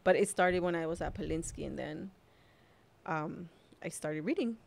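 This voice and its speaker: A young woman from Mexico whose first language is Spanish, a mother sharing her story as a podcast guest in a soft, hesitant way with frequent laughs. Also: sits low, moderate pace, gravelly, a little hiss or hum. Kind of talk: casual personal storytelling.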